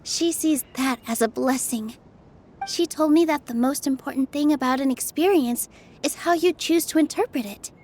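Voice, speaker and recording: An actress voicing a kind young woman dancer in a video game, speaking in a gentle, sympathetic and encouraging way. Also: high voice, moderate pace, a little hiss or hum, lightly acted. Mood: earnest.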